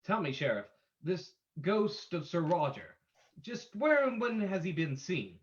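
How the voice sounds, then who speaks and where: A performer as a suave detective, animated intonation. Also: medium pitch. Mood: suave, teasing. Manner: formal, measured questioning. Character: calm, suave male detective, probing. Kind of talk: old-time radio drama reading. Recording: dull lo-fi sound.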